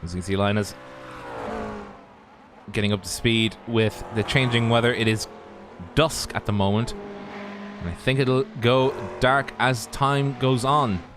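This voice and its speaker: A man's voice: medium pitch, unhurried, pauses often, noisy background. A man co-commentating a sim race stream in a jokey, chatty way, talking to the viewers. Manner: jokey, chatty, talks to the chat. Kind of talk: casual live race commentary.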